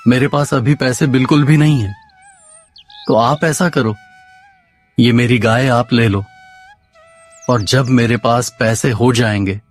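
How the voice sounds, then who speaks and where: A man's voice: medium pitch, close to an everyday voice. A man voicing a lazy, naive village husband in a cartoon story, talking in dialogue. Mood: persuading.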